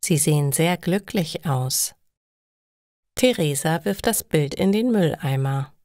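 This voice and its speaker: A woman's voice: sits low, lively intonation, takes it slowly, darkish tone, dry recording, studio quality. A woman reading scripted stories for language learners as a narrator. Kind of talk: slow, clearly read scripted story narration.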